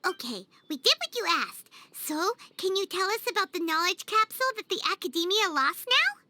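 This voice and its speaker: A woman voicing a small, excitable, childlike fairy companion in a video game, chatty and naive, with a squeaky voice. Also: normal speed, no echo, exaggerated voice. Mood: curious. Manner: refers to self in third person, exclaiming, wheedling. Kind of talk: video game cutscene acting. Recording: music under the voice.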